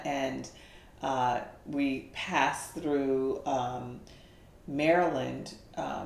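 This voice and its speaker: Low-voiced Bahamian woman telling her story. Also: darkish tone, echoey room, slight background noise. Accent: faint Bahamian lilt in speech pattern. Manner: laughing storyteller with many fillers. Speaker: guest. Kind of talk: casual interview chat.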